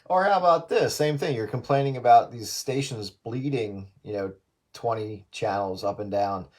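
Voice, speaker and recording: A man's voice: medium pitch, normal speed. A man in casual radio chat with other hobbyists, teasing and argumentative, mockingly quoting people over two-way radio audio.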